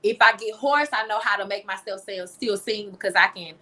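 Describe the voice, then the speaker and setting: A woman's voice: medium pitch, a little fast, sharp tone. A woman who is a singer, speaking informally and expressively as an interview guest, often repeating phrases for emphasis. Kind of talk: candid, conversational interview.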